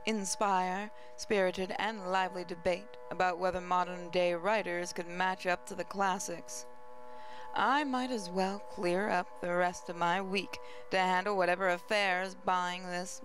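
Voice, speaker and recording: Low-pitched woman narrating over music. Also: low-quality recording. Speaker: gaming channel host. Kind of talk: reading a visual novel aloud, first-person narration. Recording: music playing behind voice. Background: game music.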